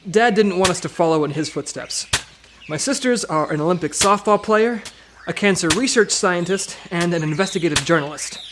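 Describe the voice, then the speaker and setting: A man's voice: sits high, expressive, fairly quick, background noise. A man playing an earnest, dutiful government agent in an audio comedy drama, speaking sincerely. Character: earnest younger male government agent, dutiful team leader.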